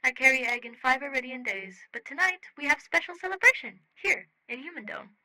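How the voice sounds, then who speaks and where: Girl doing a sweet eager alien buddy, high n super bright, choppy broken english, podfic character. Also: big pitch swings, a little fast, no echo, heavily acted, low-quality recording. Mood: excited. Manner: clipped broken grammar, repeats words.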